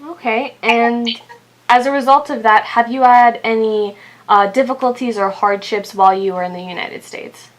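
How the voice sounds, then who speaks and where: A woman's voice: a little high, steady tone, moderate pace, rough recording. A young adult woman hosting an informal interview for a channel, reading out prepared questions.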